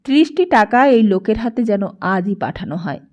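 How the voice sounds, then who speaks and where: A woman narrates a literary story a script in a measured, expressive way. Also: medium pitch. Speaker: audiobook narrator.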